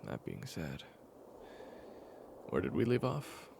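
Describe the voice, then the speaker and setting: A man playing a weary, patient caretaker in an intimate audio roleplay, speaking in a hushed, hesitant way. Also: wildly swooping pitch, slow, a little hiss or hum, full character voice. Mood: patient, reassuring. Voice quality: very low gravelly voice. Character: weary, patient man caring for an amnesiac companion, retelling their past.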